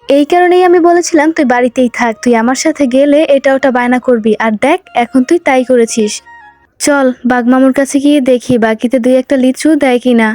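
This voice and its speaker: A woman plays a tired, hard-up cartoon mother with a mellow, fairly high voice. She explains things with affectionate exasperation, and music runs underneath. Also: a little fast, dry recording, natural voice. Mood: exasperated. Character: weary, poor, gently scolding mother. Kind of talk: children's cartoon dubbing.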